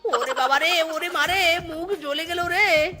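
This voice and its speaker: A cartoon jackal husband, fed up and scolding, as a woman voices him. His high, sharp, bright voice snaps with irritation. Light music runs faintly underneath. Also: unhurried, full character voice. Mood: burning, howling. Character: grumbling male jackal husband with two wives. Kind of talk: cartoon voice acting.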